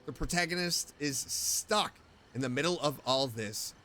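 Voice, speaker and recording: A man streaming a game, reading story text aloud in an expressive way and reacting to it. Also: medium pitch, measured pace, slight background noise. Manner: expressive, animated.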